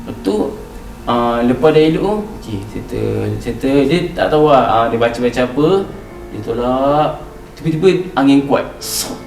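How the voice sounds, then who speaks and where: A man's voice: mid-range voice, moderate pace, gravelly, a little mellow. A young Malaysian man telling a story casually, acting out bits of dialogue and repeating phrases as he goes. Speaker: podcast guest telling a ghost story. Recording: roomy, distant mic, music underneath. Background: music.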